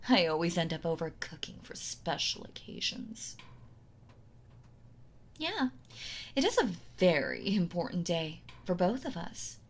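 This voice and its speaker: A woman's voice: on the low side, huge pitch swings, full character voice. A woman playing a possessive yandere wife in an intimate audio roleplay, doting and condescending, with a sweetly menacing manner. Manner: slow, coddling, condescending, with sighs and soft laughs.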